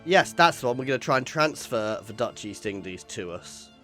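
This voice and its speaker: Man, middle-pitched voice, casual gaming commentary, thinking aloud, playfully exclamatory, soft music underneath. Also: animated, moderate pace. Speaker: gaming video host. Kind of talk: casual let's play commentary of a strategy game.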